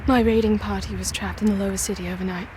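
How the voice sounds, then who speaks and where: A woman's voice: medium pitch, fairly even intonation, quick pace, lightly acted. An actress playing a brave, dutiful, headstrong young female soldier in a video game, speaking firmly and urgently. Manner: firm, urgent. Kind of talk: dramatic video game acting. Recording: noisy background.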